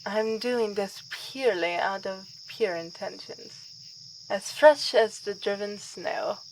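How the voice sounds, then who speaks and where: A woman's voice: mid-range voice, slow, a little hiss or hum, poor audio. A woman playing a refined, wry vampire princess in an ASMR roleplay, with dry and politely formal delivery. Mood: playful, gracious.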